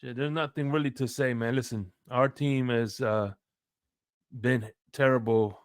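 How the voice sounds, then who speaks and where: A man's voice: mid-range voice, fairly even intonation, unhurried, clean tone, dry recording, studio quality. A man talking football as a guest fan, blunt and joking, with hesitant starts. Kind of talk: casual sports chat.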